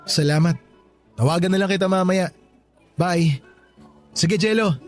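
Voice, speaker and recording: A man's voice: high-pitched, measured pace, faint music behind. An actor playing a polite, easygoing young father in natural radio drama dialogue. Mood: polite, casual.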